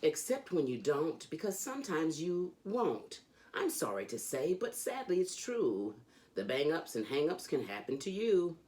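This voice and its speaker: Very deep-voiced woman reading a storybook expressively. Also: a little slow. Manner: animated, sing-song storytelling. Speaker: host of a children's read-along show. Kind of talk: expressive storybook read-aloud.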